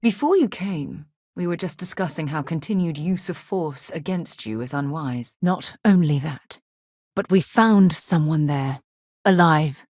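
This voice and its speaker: A woman playing a composed, principled space explorer in a video game, with articulate, measured and formal phrasing. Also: low voice, a little mellow, no echo, studio quality. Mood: measured, thoughtful. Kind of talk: video game character dialogue.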